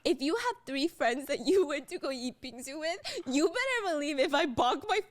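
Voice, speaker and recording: A woman narrating a story in a chatty, animated way with sarcastic asides, on a close studio mic. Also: high voice, moderate pace, crowd noise. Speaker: true crime storyteller.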